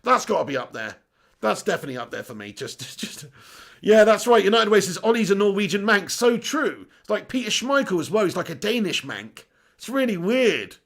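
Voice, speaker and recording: A man hosting a live stream, reading out viewer comments excitably, laughing often and swearing casually. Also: sits high, normal speed, gravelly, slightly rough. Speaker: host.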